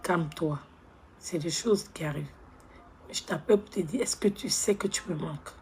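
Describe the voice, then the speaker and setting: A woman playing a remorseful young woman who begs her ex on the phone. She speaks softly and pleads, often close to a whisper. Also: on the low side, moderate pace, a little hiss or hum, close to an everyday voice. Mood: pleading. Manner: soft, hushed, pleading, often near a whisper. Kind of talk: comedy skit monologue.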